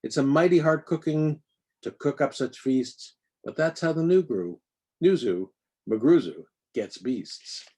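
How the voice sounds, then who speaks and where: A man's voice: on the high side, animated, unhurried, mellow, husky. A man reads a rhyming children's picture book aloud with playful, theatrical emphasis on the nonsense words. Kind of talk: expressive read-aloud of rhyming storybook verse.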